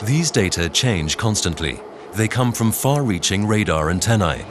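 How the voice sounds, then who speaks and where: A man reading scripted documentary narration in a measured, instructional way. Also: lowish voice, animated, noisy background. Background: background music.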